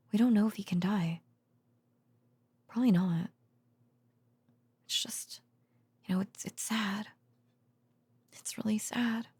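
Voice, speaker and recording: A woman playing a tender, worn-out researcher girlfriend in an intimate bedtime audio roleplay, speaking haltingly and drifting into whispers. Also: medium pitch, gravelly, a little mellow, breathy edge, dry recording, full character voice.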